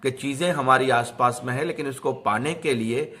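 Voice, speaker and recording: Male storyteller, medium pitch, informal motivational delivery, explanatory with repeated phrases for emphasis. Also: moderate pace, fairly bright tone.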